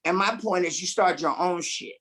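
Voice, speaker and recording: A man's voice: high-pitched, normal speed, pushed and bright, husky. A man speaking bluntly and emphatically on a conference panel, swearing freely. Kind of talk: panel discussion.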